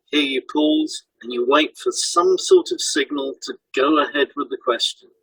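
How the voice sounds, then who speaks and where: A man with a fairly high, creaky voice explains things in an instructional, scripted podcast style. His intonation swings widely, and the sound is dry, with very little room around it. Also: huge pitch swings, moderate pace, fairly bright tone, dry recording, rough recording. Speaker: hypnotherapist and podcast co-host.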